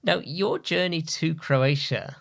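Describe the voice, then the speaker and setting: Man, interview host; gravelly, friendly, sums up his guest's points. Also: a little high, takes it slowly, fairly bright tone. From United Kingdom. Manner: friendly, summarizing questions. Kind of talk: conversational interview.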